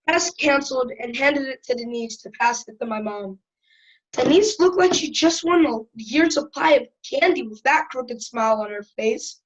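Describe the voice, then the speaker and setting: Woman doing a wry chatty kid boy, high voice, telling his own story, bit muffled audio. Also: expressive, normal speed. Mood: wry, rueful. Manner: wry, conversational. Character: cheeky, chatty schoolboy narrating his family troubles. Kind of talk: first-person storytelling in audio drama.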